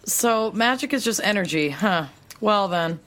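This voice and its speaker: A brainy, sceptical young-hero's sister played by a woman in an audio drama. She reasons things out in a matter-of-fact way. Her pitch is average for a woman. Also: animated, unhurried, a bit bright. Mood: pondering, analytical. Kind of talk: acted audio drama dialogue.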